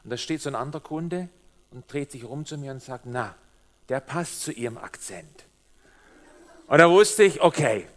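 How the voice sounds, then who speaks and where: A middle-aged man with a Swabian German accent shaded by years in Switzerland, giving a lively, humorous sermon as a guest preacher and speaking directly to the congregation. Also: mid-range voice. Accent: Swabian German with Swiss German influence.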